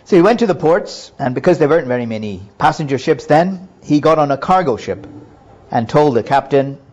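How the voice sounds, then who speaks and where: A middle-aged man calmly telling his personal faith testimony in an earnest, measured way. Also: fairly high pitch, highs cut off. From Hong Kong and Japan. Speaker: businessman giving testimony.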